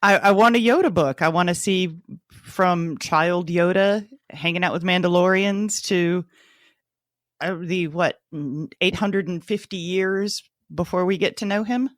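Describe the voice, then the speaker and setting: A woman's voice: lowish voice, measured pace, dry recording, studio quality. A woman co-hosting a podcast, talking in a casual, conversational way. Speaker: podcast co-host. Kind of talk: casual book club podcast chat.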